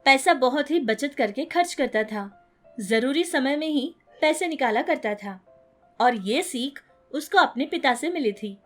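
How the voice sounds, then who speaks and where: High-pitched woman storyteller. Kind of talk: scripted moral-story narration.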